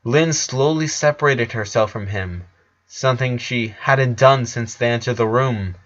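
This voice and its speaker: A man's voice: medium pitch, unhurried, a bit bright. Man narrating a story, slightly dull recording. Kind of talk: dramatic fan fiction narration.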